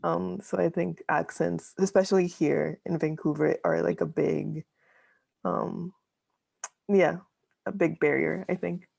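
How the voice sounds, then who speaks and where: A woman's voice: on the low side, fairly even intonation, gravelly, a little mellow, no echo. A woman from Mexico with a light Mexican Spanish accent, a student sharing hesitantly on a video call. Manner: hesitant, halting. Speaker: student participant.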